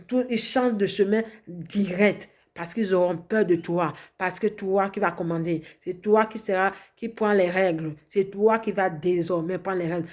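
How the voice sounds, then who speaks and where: A woman's voice: fairly low pitch, a little mellow. A woman preaching, making fervent, repetitive prophetic declarations and calling for amens. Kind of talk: prophetic sermon, French then Spanish.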